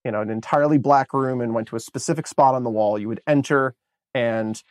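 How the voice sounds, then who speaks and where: Rambling, excited American man on a podcast. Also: medium pitch, brisk, dry recording, studio quality. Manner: enthusiastic, rambling with many fillers and restarts. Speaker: podcast co-host. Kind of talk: casual movie review chat.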